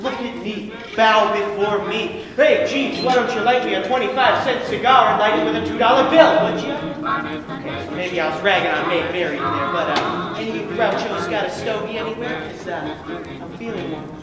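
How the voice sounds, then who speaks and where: A man acting a mocking, hot-headed 1940s loudmouth in a stage play. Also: high-pitched, normal speed, natural voice. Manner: mocking, exaggerated. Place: large theater stage, distant camera. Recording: echoey theater from far off, loud music.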